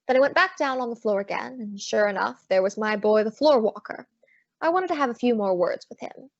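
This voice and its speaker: A woman playing a sassy, quick-witted female private detective in an old-time radio drama, wry and brisk. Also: fairly high pitch, expressive, gravelly, no room sound. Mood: wry narration. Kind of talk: old-time radio drama acting with first-person narration. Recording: dull lo-fi audio.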